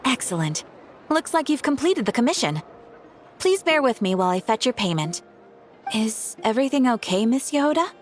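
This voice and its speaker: An actress playing a polite, attentive guild receptionist in a video game, with a courteous, professional manner. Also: female voice, on the high side, very animated, normal speed. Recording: studio voice over game music. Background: background music.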